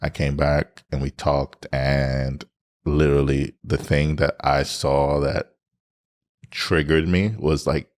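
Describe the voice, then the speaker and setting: A man with a deep voice co-hosting a podcast, candidly retelling a story and acting out his own past lines. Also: level tone, slow. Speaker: podcast co-host. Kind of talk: candid storytelling in casual chat.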